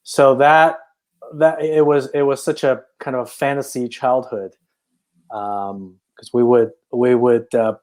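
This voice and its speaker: A man's voice: medium pitch, flat delivery, unhurried, darkish tone. A man from China, a native Chinese speaker, telling his life story in a casual podcast interview, thoughtful and full of restarts. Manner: reflective, hesitant, many restarts. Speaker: podcast guest, filmmaker.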